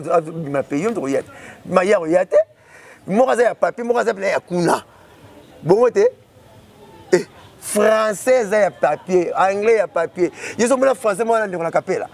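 Brief: Congolese guest, 30s, quick-fire delivery, lapel mic outdoors. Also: male voice, sits high, expressive, a little mellow. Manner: gestures constantly, rapid and emphatic. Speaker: interview guest. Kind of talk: animated chat mixing French and Lingala. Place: outdoors beside a parked car.

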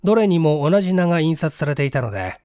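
A male audiobook reader narrates a mystery with theatrical flair. His voice sits high and swings widely in pitch. The sound is dry, with hardly any room. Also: big pitch swings, fast, mellow, no echo. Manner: theatrical, acts out each character's dialogue. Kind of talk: dramatic scripted narration of a mystery story.

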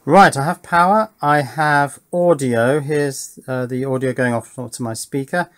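A man's voice: mid-range voice, a little slow, a bit bright, dry recording. A middle-aged man giving casual, matter-of-fact hands-on narration as an electronics hobbyist, musing aloud as he goes. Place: indoor home workbench.

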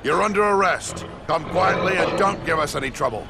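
An actor playing a stern city guard in a fantasy game, curt, formal and commanding. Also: male voice, on the high side, fairly quick, vocal fry, very noisy.

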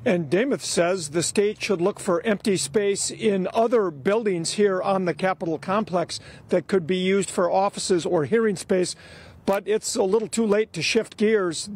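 A middle-aged man giving a steady, emphatic live news report outdoors at night with a handheld mic. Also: high-pitched, husky. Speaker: chief political reporter. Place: outdoors at night by a government building. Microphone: handheld station mic.

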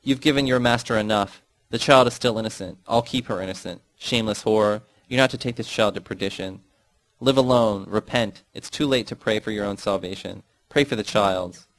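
A man's voice: fairly low pitch, fairly quick, dry recording, close to an everyday voice, rough recording. A man voicing a fanatical old mother who shrieks religious curses and accusations at her daughter in an audiobook story. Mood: raging.

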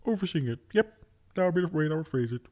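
A man's voice: on the high side, expressive, quick pace, warm tone, pitched above the performer's own voice, close to an everyday voice. A man voicing a prim, self-justifying schemer who talks in a chatty, evasive way. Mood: evasive, smug.

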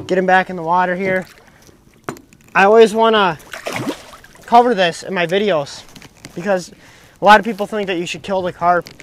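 A young man giving excited, casual running commentary while fishing from a dock on a lake. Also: high voice. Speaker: fishing vlogger. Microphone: action camera mic, sometimes head-mounted.

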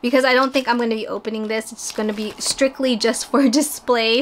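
A woman's voice: a little high, fairly bright tone. A woman in her thirties chatting casually at home in a haul vlog, upbeat and full of fillers. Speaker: vlogger. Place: small bedroom filming space at home.

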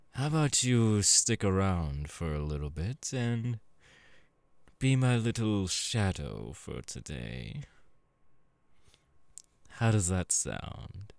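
A man voicing a sleazy, irritable demon film director in an ASMR roleplay, domineering and coaxing with drawn-out delivery. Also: medium pitch, dry recording, heavily acted. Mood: intrigued, coaxing.

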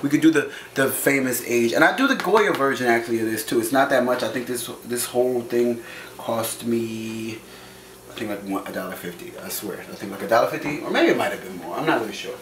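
A man's voice: mid-range voice, a little fast, crowd noise. A man in his thirties hosting a casual home cooking show, joking and swearing loosely as he cooks. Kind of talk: casual cooking demo with banter. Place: small home kitchen. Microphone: camera mic from across the room. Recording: echoey kitchen, distant mic, noisy.

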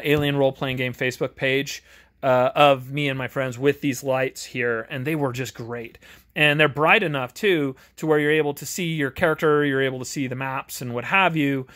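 A man's voice: medium pitch, fairly bright tone. A man giving a casual, rambling game review to camera in an earnest, conversational, enthusiastic manner, recorded in his home garage. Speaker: amateur tabletop game reviewer and host.

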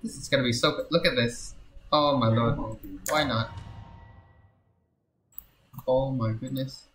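A game streamer giving casual, excitable live commentary full of muttered repeats and exclamations. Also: mid-range voice, unhurried, roomy sound, soft background music.

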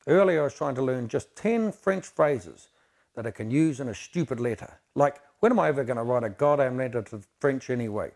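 Gravelly Irish man reading aloud. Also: medium pitch, lively intonation, fairly quick, a little mellow. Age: 50s. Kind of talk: dramatic book reading. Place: indoor lounge on sofa.

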